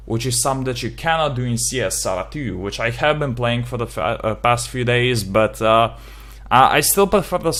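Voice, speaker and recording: A man's voice: mid-range voice, fairly bright tone. A young man from Slovenia with a Slovenian accent, giving his opinion in a casual gaming vlog, rambling with lots of fillers and swearing freely. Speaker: gaming video creator.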